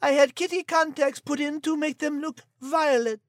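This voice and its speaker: A woman's voice: sits high, fairly even intonation, moderate pace, no echo, clean studio sound, close to an everyday voice. A woman playing a vain, elegant French cat with a French accent and a dainty, prim manner in a comedy podcast. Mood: vain, defensive. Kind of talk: comic audio character acting.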